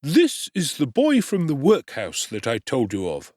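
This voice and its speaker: A man's voice: mid-range voice, huge pitch swings, unhurried, a little mellow, no echo, studio quality, voice pushed up. A man doing an oily, deferential undertaker. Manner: deferential, ingratiating. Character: ingratiating middle-aged male undertaker, deferential to his wife.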